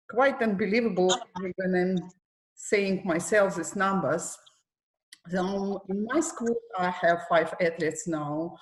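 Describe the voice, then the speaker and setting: Give me a middle-aged woman with a Ukrainian accent who talks slowly and deliberately, her voice sitting a little low. She is a coach on a video call, and the room has a noticeable echo. Her phrasing is careful and shows she is not a native English speaker. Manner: slow, careful phrasing with non-native grammar. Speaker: para athletics coach. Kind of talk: casual panel Q&A. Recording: roomy echo.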